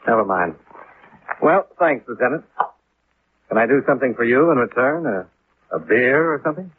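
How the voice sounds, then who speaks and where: A man's voice: medium pitch, animated, normal speed, close to an everyday voice. A man playing a wry, hard-boiled American insurance investigator in a 1950s radio detective drama, with clipped, dry delivery. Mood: dry, probing. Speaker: lead actor and narrator. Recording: muffled, lightly noisy 1950s radio recording.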